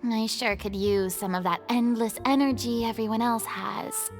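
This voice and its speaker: A woman's voice: on the high side, fairly even intonation, moderate pace, soft background music, natural voice. A woman playing a weary, theatrical, demanding young diva with a groggy, dramatic drawl in a video game. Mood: exhausted.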